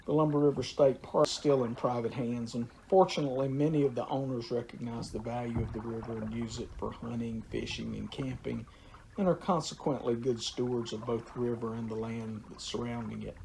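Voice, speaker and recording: A man giving an unhurried, informal talk about a river's history and how to visit it, pausing often with "uh.". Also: medium pitch, fairly even intonation, vocal fry, darkish tone, a little hiss or hum, low-quality recording. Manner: unhurried, with frequent "uh" pauses.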